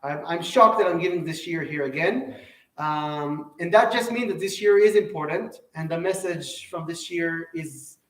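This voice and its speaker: A man's voice: fairly high pitch, normal speed, a bit bright. Earnest man teaching in an echoey hall. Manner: earnest, repeats and restarts phrases. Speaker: Torah teacher. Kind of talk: Torah lecture to a live audience. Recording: echoey room, mic at a distance.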